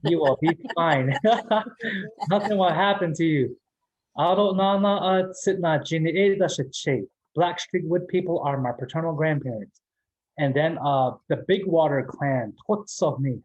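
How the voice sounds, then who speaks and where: A man's voice: a little high, measured pace, muffled recording. A man from the Navajo Nation in New Mexico, giving a casual motivational talk as guest speaker, joking and laughing often.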